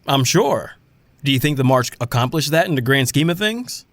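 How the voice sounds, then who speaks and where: A man's voice: mid-range voice, expressive, normal speed, fairly bright tone, no echo. A man playing an upbeat, slangy radio DJ host in an audio drama, showy and breezy. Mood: hyped, friendly. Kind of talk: scripted audio drama, radio show banter.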